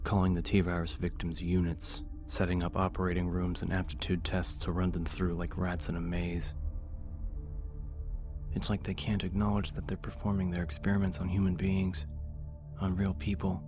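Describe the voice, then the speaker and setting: A man's voice: low voice, monotone, moderate pace, music in the background. A man reading scripted suspense horror fiction as an audiobook narrator.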